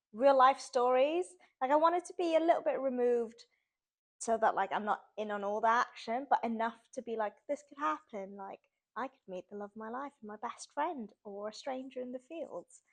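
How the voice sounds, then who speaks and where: A woman's voice: a little high, fairly quick. A young woman from Sweden, chatting casually as an author guest in an interview and using lots of "like" fillers.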